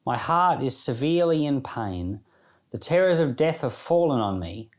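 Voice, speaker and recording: A man's voice: mid-range voice, expressive, moderate pace. Man reading and discussing scripture devotionally. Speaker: church Bible podcast host.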